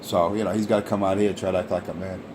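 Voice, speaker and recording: A man's voice: sits low, little pitch movement, rapid-fire. A man taunting a rival on a CB radio, boastful and mocking, repeating his lines. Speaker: CB radio operator. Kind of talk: taunting banter over a CB radio. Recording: noisy radio transmission.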